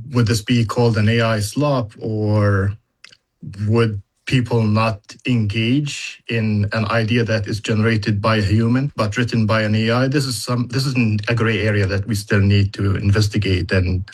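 A man who speaks English as a second language, explaining things as an educator in a measured interview conversation, using examples. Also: lowish voice, level tone, husky. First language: not English. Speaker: university educator in data analytics.

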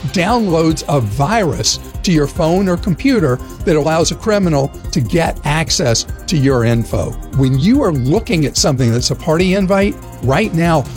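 An earnest male radio voice gives consumer warnings with lively rises and falls in pitch. A light music bed sits behind him. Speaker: consumer advice host. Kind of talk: scripted radio segment.

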